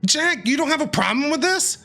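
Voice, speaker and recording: Bright, excitable gaming commentator man. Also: very high voice, brisk. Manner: excitable, sarcastic. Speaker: gaming streamer. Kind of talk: let's-play commentary. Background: game music.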